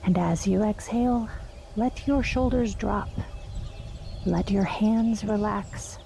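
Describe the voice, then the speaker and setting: A woman guiding a meditation, speaking softly and unhurriedly with long pauses. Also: mid-range voice, creaky, slight background noise. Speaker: meditation guide. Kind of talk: guided meditation.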